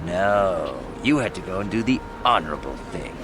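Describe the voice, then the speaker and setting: An actor playing a scornful, greedy underling in a video game. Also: male voice, deep voice, expressive, moderate pace, background noise.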